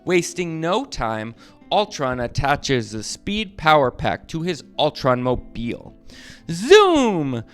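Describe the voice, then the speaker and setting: Animated man reading a storybook. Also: fairly high pitch, takes it slowly, smooth, faint music behind. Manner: expressive, dramatic emphasis. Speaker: storybook narrator. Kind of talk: animated children's read-aloud narration.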